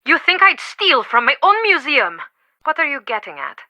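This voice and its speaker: Bright, passionate museum curator. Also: female voice, high voice, sing-song, moderate pace, no room sound, full character voice. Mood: outraged. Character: earnest, passionate woman museum curator, devoted and easily affronted. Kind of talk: video game voice acting.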